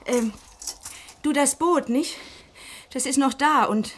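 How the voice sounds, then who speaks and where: A woman's voice: high-pitched, slow, a bit bright. An actress playing an uneasy, trusting woman in a German radio crime drama, hesitant and questioning but obedient. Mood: frightened, hesitant. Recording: noisy vintage radio play recording.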